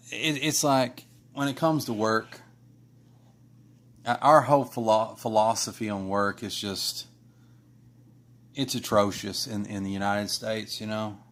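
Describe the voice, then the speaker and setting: Guy rambling on a late-night drawing stream, kinda low voice, lots of uh and you know. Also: a bit bright. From Kentucky. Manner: rambling, many restarts and fillers. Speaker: artist streaming live. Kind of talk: casual chat while drawing.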